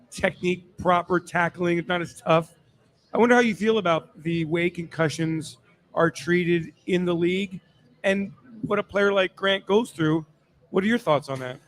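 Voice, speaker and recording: High-voiced man over background noise. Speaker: sports show panelist. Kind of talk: live sports talk.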